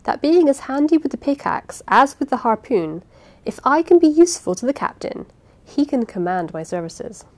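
A woman's voice: high-pitched, very animated, moderate pace, voice pushed up. A woman voicing an eager, bold male harpooner who speaks forthrightly.

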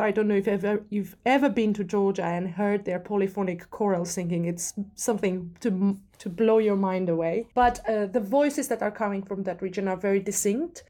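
A young woman from Armenia, an opera singer, speaks in an interview with effusive enthusiasm. Her talk is warm with excitement, piling praise on her colleagues. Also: medium pitch, brisk, few pauses. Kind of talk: interview chat.

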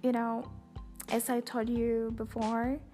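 A young woman with an Indonesian accent hosts her podcast in a casual, chatty monologue, full of fillers. Her voice sits fairly high and she talks at an unhurried pace. Faint music runs underneath. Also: completely flat delivery, warm tone. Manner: talkative, chatty with many fillers. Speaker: podcast host.

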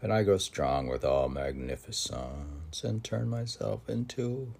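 Deep, creaky-voiced man slowly reading poetry. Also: expressive, a little mellow. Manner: musing, halting, talks to himself with questions. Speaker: podcast host reading poetry. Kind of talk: poetry reading with rambling casual commentary.

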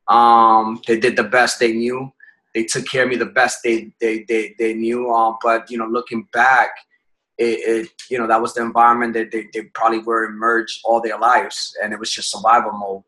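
A man from Puerto Rico, a podcast guest telling his life story in a reflective way, often pausing and restarting his phrases. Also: medium pitch, completely flat delivery, sharp tone, poor audio.

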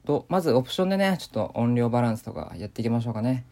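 A man giving improvised deadpan commentary as he plays a video game. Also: medium pitch, rapid-fire. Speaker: gamer doing commentary. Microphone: voice memo recording.

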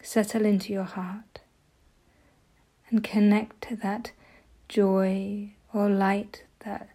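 Female meditation guide, mellow and breathy, with an even, barely moving pitch and soothing, gentle instruction. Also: slow pace. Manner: soft, gentle delivery, often breathy.

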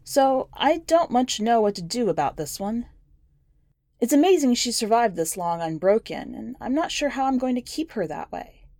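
Measured woman narrating fiction, dry recording. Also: a little high, big pitch swings, darkish tone, dry recording. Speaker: podcast host and story narrator. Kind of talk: scripted first-person fiction narration.

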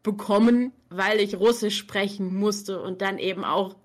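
Young woman, author and reading coach, casual livestream chat, chatty, laughs often, self-mocking, medium pitch. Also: fairly even intonation, unhurried. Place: warm room at home.

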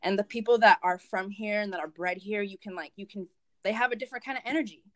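A young woman whose first language is Spanish talks in a relaxed interview. She rambles, circles back and fills her sentences with restarts. Her voice sits at an ordinary middle pitch for a woman. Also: quick pace. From United States. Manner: rambling, many fillers and restarts. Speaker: guest, event organizer. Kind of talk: casual interview chat.

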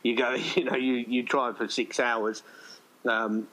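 A man's voice: mid-range voice, little pitch movement, a little slow, a bit bright, low-quality recording. A man talking casually as a filmmaker guest in an interview, in a rambling way with quoted asides. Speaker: indie filmmaker, podcast guest.

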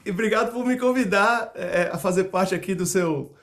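A man's voice: high-pitched, normal speed, a bit bright. A middle-aged man from Salvador with a Bahian Brazilian accent, answering casually as an interview guest and often repeating words as he restarts. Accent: Brazilian Portuguese (Bahia). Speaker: percussionist guest.

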